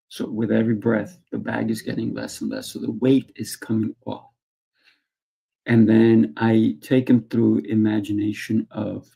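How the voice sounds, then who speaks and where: A man who teaches martial arts, speaking in a calm, soft guiding way as a podcast guest. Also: lowish voice, gravelly, husky, poor audio. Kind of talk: conversational interview talk.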